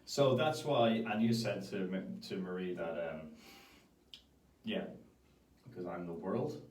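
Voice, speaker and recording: A man's voice: deep voice, animated, a little slow, a little mellow. A man in a casual conversation, talking in a wry, teasing way. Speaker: graphic designer, guest. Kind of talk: casual chat. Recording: roomy, distant mic, faint background noise.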